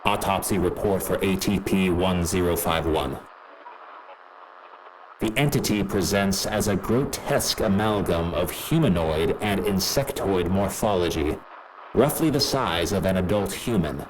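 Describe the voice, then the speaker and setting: A man's voice: sits low, measured pace, background noise. A man playing a clinical, pedantic male scientist dictating a detached, precise log in an audio drama. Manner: detached, precise, jargon-heavy.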